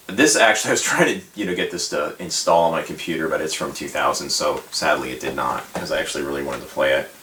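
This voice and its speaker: A man giving a casual, unscripted retrospective as a video host, talking in a halting, rambling, tentative way. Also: sits low, bright, forceful tone, a little hiss or hum. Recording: echoey room, distant microphone.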